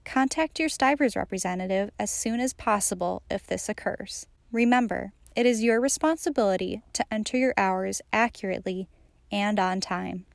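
A woman reading scripted training narration in a measured, instructional manner. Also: medium pitch, vocal fry, no echo, clean studio sound.

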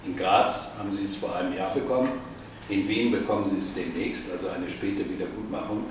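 Hesitant older interviewer, echoey. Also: male voice, low voice, steady tone, a little fast, background noise. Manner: hesitant, many fillers. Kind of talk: interview question. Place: crowded café, indoors. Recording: echoey room from far off.

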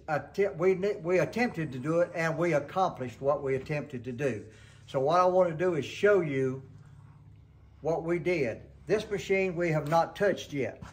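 A man's voice: fairly high pitch, fairly bright tone, breathy edge. Folksy older man in a barn workshop. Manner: folksy, rambling, restarts and corrects himself. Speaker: sawmill sharpening show host. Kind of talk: casual how-to demonstration. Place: open barn workshop, peacocks nearby.

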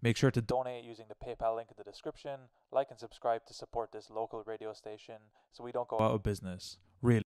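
A man's voice: medium pitch, level tone, fast, hardly any pauses, a little mellow, smooth, dry recording. A man playing a chatty local radio announcer, heard through an old-time radio filter. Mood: announcing.

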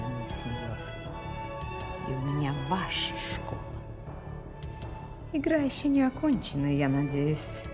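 An actress playing a scheming, vengeful noblewoman in a radio drama, theatrical and measured, with cold seductive menace. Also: low-pitched, exaggerated voice. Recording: loud orchestral music behind.

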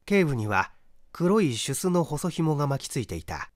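A man reading a mystery story aloud as dramatic narration, measured and expressive with deliberate pauses. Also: a little high, dry recording, clean studio sound. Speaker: story reader, stage actor.

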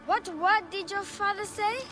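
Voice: very high-pitched, fairly even intonation, measured pace, sharp tone, a little hiss or hum, heavily acted. An actor playing an eager, anxious young boy who adores his father in a game cutscene. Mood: timid, curious. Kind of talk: dramatic game cutscene acting. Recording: faint game music behind.

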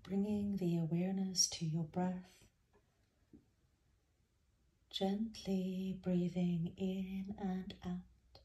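A woman guides a meditation in a mellow, relaxed voice that sits slightly low. She speaks very slowly and gently, soothing the listener, and lets the ends of her phrases fall softly. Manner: gentle, soothing, unhurried with soft phrase endings. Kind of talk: guided body scan meditation.

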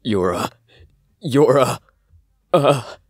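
A man's voice: medium pitch, expressive, breathy edge, no echo, studio quality, full character voice. An actor playing a downcast, bewildered young man held captive in an audio drama, speaking hesitantly. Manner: hesitant, halting.

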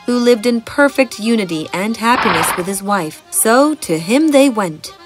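A woman's voice: on the high side, lively intonation, normal speed. A woman reading a scripted fairy tale as a storyteller. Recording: music bed behind the narration.

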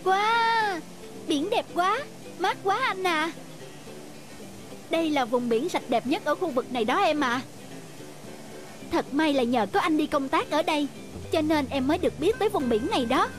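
Very high-pitched haughty young cartoon wife , acted by a woman. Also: expressive, moderate pace, pauses often, faint music behind. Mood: cheerful. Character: haughty, selfish young wife on a beach holiday. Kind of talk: animated moral-story dubbing.

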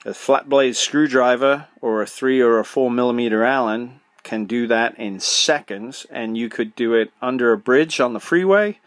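A middle-aged podcast host giving practical, direct advice, often asking himself questions and then answering them. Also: male voice, mid-range voice, moderate pace, hardly any pauses, no echo. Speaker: podcast host, motorcycle suspension tuner. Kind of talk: solo podcast advice talk.

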